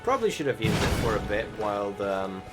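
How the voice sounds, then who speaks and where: Loud music plays behind a man with a fairly low voice. He gives casual gameplay commentary as a voice-over, rambling and feeling for his words. His language is informal, with the odd swear word. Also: big pitch swings, fast. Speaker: game video commentator.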